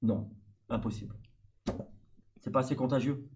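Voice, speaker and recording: A man's voice: medium pitch, moderate pace, gravelly, darkish tone, slight background noise. A man from France, older than his host, chatting casually and explaining at length with many hesitations. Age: adult older than the host. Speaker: stream guest. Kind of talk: casual chat.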